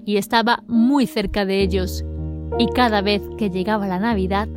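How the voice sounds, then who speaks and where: A woman's voice: mid-range voice, steady tone, moderate pace, over music. A woman reading scripted storybook narration as the narrator of an audio drama.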